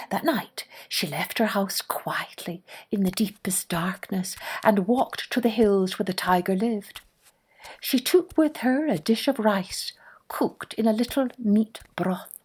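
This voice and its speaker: Lady reading a kids story podcast, slow n expressive, hushed bits, soft music under it. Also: mid-range voice. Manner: expressive, hushed at suspenseful moments. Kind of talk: scripted children's story narration.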